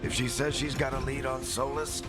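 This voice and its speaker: A man's voice: mid-range voice, little pitch movement, moderate pace, close to an everyday voice. A man playing a wry, seasoned fantasy adventurer who leads his companions in a video game, with a dryly joking manner. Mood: wry, assured. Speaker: team leader. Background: loud dramatic music.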